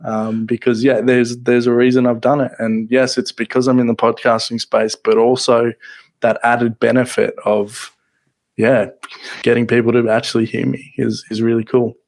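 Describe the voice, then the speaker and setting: Soft-spoken man close on the mic. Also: medium pitch, flat delivery, vocal fry. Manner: soft-spoken, halting with many restarts. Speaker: podcasting guest. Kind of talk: casual interview chat. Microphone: close microphone.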